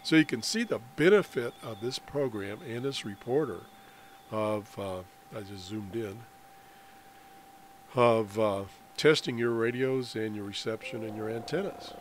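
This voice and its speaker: An American man giving an unscripted software walkthrough as a radio hobbyist, explaining in a halting way with trailing phrases. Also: lowish voice. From United States. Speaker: amateur radio hobbyist presenting a tutorial. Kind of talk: unscripted software screen demonstration. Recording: clear background noise on voice-over.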